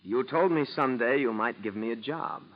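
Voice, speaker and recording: A man's voice: medium pitch, moderate pace, lightly acted. Male actor as earnest young student, American accent, polite, middle register, 1940s radio acting, dull recording. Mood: guarded, earnest. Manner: respectful, earnest, questioning.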